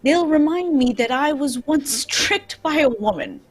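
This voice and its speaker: A woman playing a shrewd male detective with a husky, theatrical delivery in an amateur radio drama. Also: high voice, lively intonation, measured pace. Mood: deferential. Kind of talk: amateur old-time radio drama reading.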